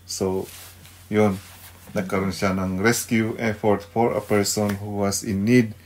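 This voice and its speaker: A middle-aged man with a Filipino accent giving an unscripted devotional radio talk, pausing to search for words and mixing two languages. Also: on the low side, flat delivery, a little hiss or hum. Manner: halting, searching for words, mixes Tagalog and English. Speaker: radio devotional host, pastor.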